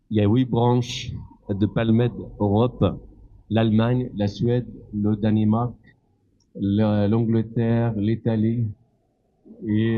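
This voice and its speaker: Halting, low-voiced older man from Palestine. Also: lo-fi sound. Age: middle-aged or older. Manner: halting, searching for words. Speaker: guest speaker, doctor. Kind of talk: unscripted public talk.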